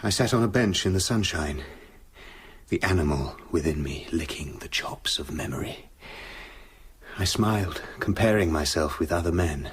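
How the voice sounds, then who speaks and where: An actor playing a tormented British gentleman scientist confessing his dark side in a brooding, literary radio drama narration. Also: low voice, expressive, normal speed, breathy edge, exaggerated voice. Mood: reflective, uneasy.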